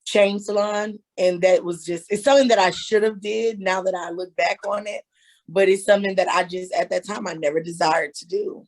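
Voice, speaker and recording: A woman's voice: mid-range voice, fairly even intonation, normal speed. A woman in her thirties, a hairstylist and author, talking candidly as an interview guest. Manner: candid, storytelling, laughing. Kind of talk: casual interview.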